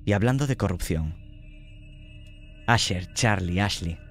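Deep-voiced Spanish game master. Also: male voice, expressive, fairly bright tone, soft background music. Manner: slow, descriptive and dramatic. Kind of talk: narrating a tabletop horror game.

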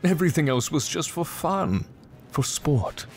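A man's voice: fairly high pitch, animated, unhurried, slightly rough, faint music behind, full character voice. A man playing a smug, menacing, cruel beast-man swordsman in a fantasy video game, speaking without hurry. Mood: smug, mocking.

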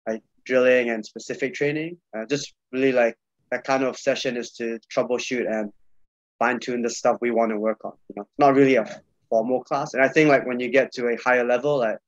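A young man talks casually over a webcam call, loose and easygoing with plenty of filler words. His voice sits at an average pitch. The audio is muffled and bone-dry, with no room sound at all. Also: a little fast, no room sound. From Malaysia. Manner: relaxed, loose, many fillers and repeats. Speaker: podcast guest, jiu-jitsu coach. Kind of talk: casual video-call chat. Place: small room indoors. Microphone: video call webcam.

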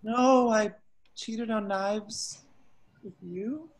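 A man reading the part of a hapless, earnest young slacker hero at an amateur table read, halting and excitable. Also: high voice, exaggerated voice. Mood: flustered. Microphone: video call.

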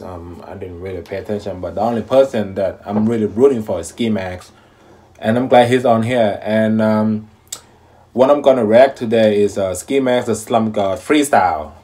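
A man in his thirties hosting a casual reaction video from a small room at home, talking in a relaxed, rambling way with lots of fillers into a close webcam mic. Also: on the low side. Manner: laid-back, rambling, many fillers.

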